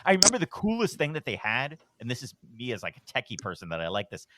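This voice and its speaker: A middle-aged man with a deep voice, telling a long rambling story on a podcast in a relaxed, self-deprecating way. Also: fast talker, heavy background noise. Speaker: podcast host, guest. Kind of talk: casual storytelling.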